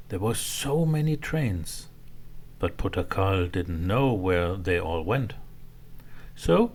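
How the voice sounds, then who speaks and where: A man narrating a children's bedtime story in a simple, expressive way for small children. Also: on the low side, gravelly.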